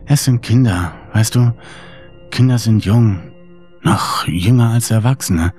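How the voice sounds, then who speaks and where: A man voicing a withdrawn, stoic little girl with a calm, emotionless manner in a horror audiobook. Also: lowish voice, unhurried, soft background music, natural voice. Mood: eerily calm.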